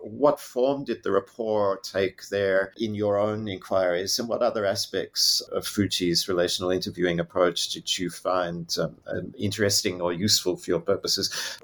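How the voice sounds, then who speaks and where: A man hosting an interview, asking long, thoughtful, formally phrased questions. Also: sits low. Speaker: podcast host.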